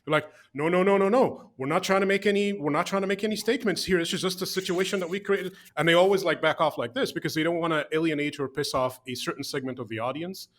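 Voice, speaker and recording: A man's voice: fast talker, hardly any pauses. A man co-hosting a casual video chat about games, talking at length and acting out quotes in a raised voice. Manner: animated, acts out quotes in a higher voice. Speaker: podcast co-host.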